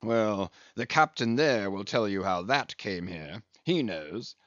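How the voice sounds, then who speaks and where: A man voicing a solemn English ship's surgeon in an audiobook, deadpan, measured and drily pompous. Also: lowish voice, no room sound, muffled recording. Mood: coolly deadpan.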